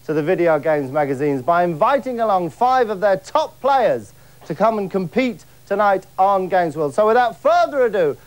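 High-voiced, camp young man hosting a game show. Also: lively intonation, moderate pace. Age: young adult. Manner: camp, mock-grand banter. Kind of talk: theatrical showman presenting. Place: TV studio.

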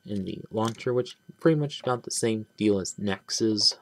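Man, gravelly low voice, faint background hiss, rambling toy review, halting restarts. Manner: rambling, halting, many restarts and trailing "but yeah". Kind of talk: casual hands-on toy review. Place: small indoor room at a table. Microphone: camera close on hands, speaker off-frame.

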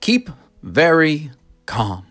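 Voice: medium pitch, very animated, drawn-out words, a bit bright, voice pushed up, full character voice. A narrator voicing a flamboyant, boastful man who owns a storybook factory, theatrical and full of exclamations. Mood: proud, fussy.

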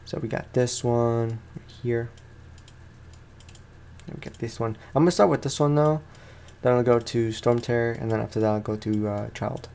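Gravelly young man, casual gaming commentary. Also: mid-range voice, fairly even intonation, normal speed, warm tone. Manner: informal, slangy, rambling. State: tired. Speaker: gaming video host. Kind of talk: casual let's play commentary.

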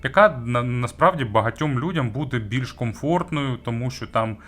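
A man giving a conversational audio gear review, speaking with hesitant pauses, stretched words and emphatic jumps on key phrases. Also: mid-range voice, a bit bright. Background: background music.

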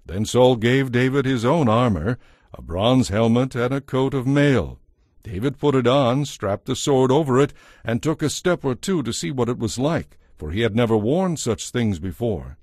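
A man narrating a dramatized scripture story in a measured storytelling manner. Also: medium pitch, lively intonation, a little mellow, no room sound, studio quality.

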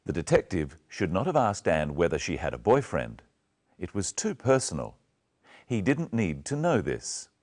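A man's voice: low voice, huge pitch swings, normal speed, a little mellow. A man presenting a scripted English lesson, instructing learners in carefully enunciated phrases.

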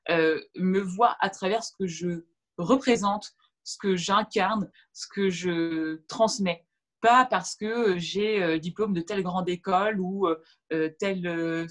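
A woman in her thirties whose first language is Arabic, a guest on a video-call interview, speaking reflectively with many fillers and restarts. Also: on the low side. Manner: gestures while talking. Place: room at home with shelves. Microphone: video call webcam.

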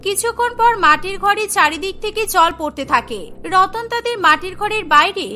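A woman's voice: extremely high pitch, lively intonation, moderate pace, pushed and bright. A woman narrating a scripted cartoon story as the storyteller.

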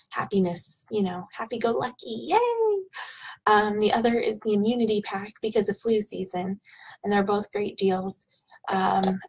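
A woman in her thirties, slightly hoarse from being sick, talks in a loose, rambling way with lots of ums. She sits at a desk in a small home office and speaks into a webcam, and a faint hiss runs under the recording. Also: mid-range voice, a little mellow, rough recording. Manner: chatty, rambling, with frequent ums. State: hoarse from illness. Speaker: product vlogger. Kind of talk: casual product unboxing chat.

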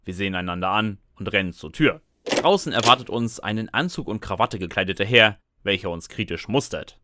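A man's voice: lowish voice, animated, fairly quick, sharp tone. A man narrating and acting the lead in a scripted comic fan audio drama, with a dry, sarcastic, resigned manner. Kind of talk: scripted first-person comic narration with dialogue.

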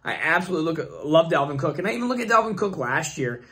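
A young man with a hoarse, fading voice hosts his channel, rambling and thinking aloud with many fillers as he talks through his picks. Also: a little high, very animated, quick pace, a bit bright. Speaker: fantasy football channel host. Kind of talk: casual screen-share commentary.

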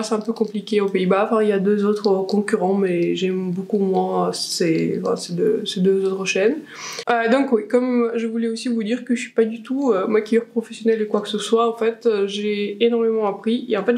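A woman's voice: medium pitch, darkish tone. A woman in her twenties from Russia, speaking with a Russian accent, chatting casually as she does her makeup, with lots of fillers and restarts. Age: 20s. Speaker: beauty vlogger. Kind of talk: casual chat while doing makeup. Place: small room indoors, plain wall.

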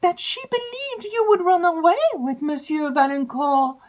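A woman reading an audiobook and voicing a chattering, gossipy young maid with breathless, drawn-out emphasis. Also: extremely high pitch, animated, a little mellow, pitched above the performer's own voice.

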